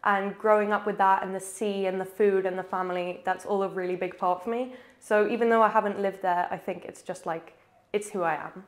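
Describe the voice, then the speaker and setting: A woman's voice: mid-range voice, steady tone, fairly quick. A woman talking in a chatty, enthusiastic way in a casual documentary interview, often saying "like".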